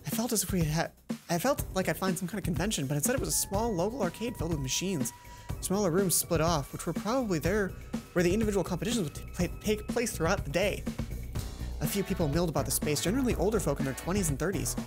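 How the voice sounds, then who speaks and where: A woman reading a visual novel aloud as a let's play host, expressive and playful, acting out the dialogue. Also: on the low side, fast. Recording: music behind the voice. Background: game music.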